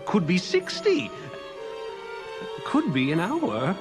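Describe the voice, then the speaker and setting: A jittery court wise man who delivers a grim omen and then hedges. His pitch runs high and swings widely, and he drags out his words slowly over steady music. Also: full character voice. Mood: ominous. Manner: hesitant, ominous then evasive. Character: fearful male court wise man reading omens. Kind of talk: animated Bible story acting.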